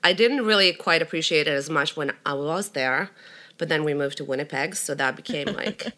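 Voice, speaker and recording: A low-pitched woman with a bright, forward tone and a Greek accent. She talks casually as a guest, speaks her mind and laughs often. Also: normal speed. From Greece. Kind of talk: casual chat. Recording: remote podcast mic.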